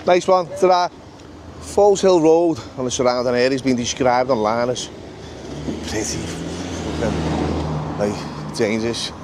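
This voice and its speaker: A man's voice: medium pitch, unhurried, pauses often, noisy background. A man walking city streets for a vlog, muttering casual asides and swearing as he goes. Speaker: street vlogger.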